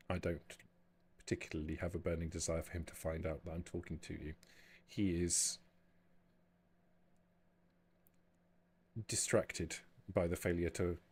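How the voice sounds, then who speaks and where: Man playing a low, husky, guarded vampire woman. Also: a little mellow. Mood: grave, guarded. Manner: measured, formal, deliberate diction. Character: formal, guarded vampire woman, quietly plotting defection.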